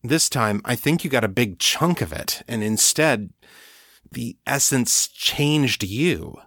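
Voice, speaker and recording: A man voicing a steady, patient man in his late thirties who talks calmly and reasons things through. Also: mid-range voice, slow, no room sound, studio quality, exaggerated voice. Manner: calm, reasoning.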